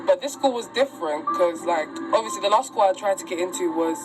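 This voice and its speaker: A woman's voice: on the low side, quick pace, low-quality recording. A woman, telling a personal story as an interview guest in a chatty, slangy, rambling way. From Uganda and Sierra Leone. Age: 20s. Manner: chatty, slangy, rambling with many likes and laughs. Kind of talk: casual storytelling. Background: loud background music.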